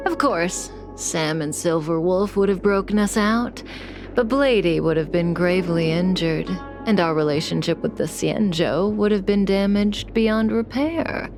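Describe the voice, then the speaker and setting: Low-voiced, composed, enigmatic outlaw. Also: female voice, unhurried. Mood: cool, composed. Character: poised, calm, enigmatic adult female outlaw. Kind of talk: dramatic video game voice acting. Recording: music under the voice.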